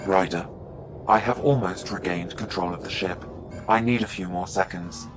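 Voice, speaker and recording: A very deep-voiced, composed male ship AI from a sci-fi game , played by an actor. He speaks in measured, formal, courteous phrases, with music playing behind him. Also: natural voice. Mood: calm, alert. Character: calm, courteous male ship artificial intelligence. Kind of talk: scripted video game acting.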